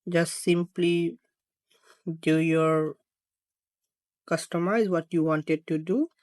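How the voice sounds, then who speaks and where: A tutorial presenter of unclear sex narrating a phone how-to step by step in halting phrases. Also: medium pitch, fairly even intonation, darkish tone, no room sound. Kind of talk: screen-recorded how-to narration.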